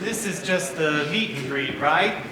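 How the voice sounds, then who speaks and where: A man's voice: a little high, lively intonation, a little slow, very noisy. Man singing on a echoey stage. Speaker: stage actor. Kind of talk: live musical theatre, singing and dialogue. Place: theatre stage. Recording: echoey hall, far mic, with piano.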